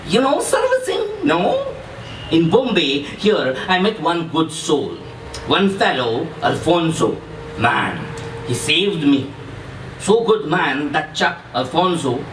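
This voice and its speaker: Guy doing a boastful ex-commando in a story reading, highish voice, distant mic. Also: fairly bright tone, exaggerated voice. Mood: reminiscing, dramatic. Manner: emphatic, repetitive, broken grammar with questioning tags. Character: menacing, boastful Iranian ex-commando, volatile and emphatic. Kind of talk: dramatic character reading from a novel. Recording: distant echoey room, faint noise.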